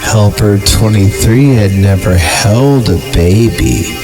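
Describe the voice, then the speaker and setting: A man's voice: lowish voice, takes it slowly. A man hosting a podcast, reading horror stories and chatting about them over background music. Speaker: podcast host and story reader. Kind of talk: horror story narration and casual commentary.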